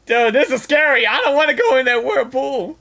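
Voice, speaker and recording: A woman's voice: high-pitched, expressive, a little fast, vocal fry, a bit bright. A woman reacting casually and live as she plays a video game, often repeating short phrases, with game music behind her.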